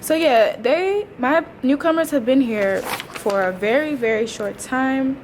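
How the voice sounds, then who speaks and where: A woman's voice: sits high, a little slow, a little hiss or hum. A woman in her twenties, a teacher, chatting casually to a vlog audience in an informal, slangy way with wry asides. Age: 20s. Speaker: teacher and vlogger.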